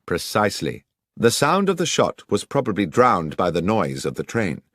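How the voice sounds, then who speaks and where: Audiobook detective, man performing: brisk, commanding, explains with precision, lively intonation. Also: lowish voice, dry recording, studio quality, exaggerated voice. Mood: assured, explanatory. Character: brisk, assured male detective explaining his deductions. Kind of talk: audiobook character dialogue.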